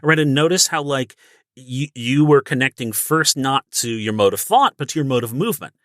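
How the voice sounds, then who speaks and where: A man's voice: medium pitch, expressive, moderate pace, a bit bright, no room sound, studio quality. A man coaching character voices in casual podcast talk, encouraging and often checking in with "right?". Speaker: voice acting coach.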